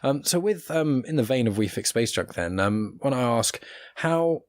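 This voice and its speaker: A man's voice: mid-range voice, lively intonation, moderate pace. A man hosting a podcast in a casual, chatty interview style. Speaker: podcast host.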